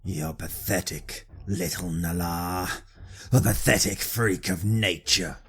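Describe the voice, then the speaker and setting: An actor playing a cruel, scarred mage hunter in an audio drama, with a raspy voice and a contemptuous, menacing manner. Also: male voice, deep voice, slow pace, a bit bright, full character voice. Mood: contemptuous taunting. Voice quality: gravelly, raspy.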